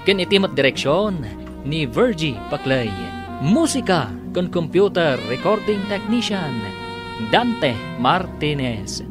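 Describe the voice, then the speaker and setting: A man's voice: fairly high pitch, very animated, slow pace. A man with an Ilocano Filipino accent reading scripted credits as a dramatic radio drama announcer. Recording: old radio recording, music underneath. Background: prominent theme music.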